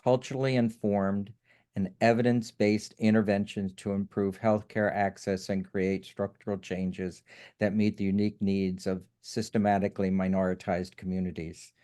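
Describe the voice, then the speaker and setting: A man hosts an online session with a smooth, resonant voice. His tone is mellow and relaxed as he reads notes and an introduction, pausing on small fillers. He is heard through a video-call mic. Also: lowish voice. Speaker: webinar host. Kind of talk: housekeeping notes and speaker introduction.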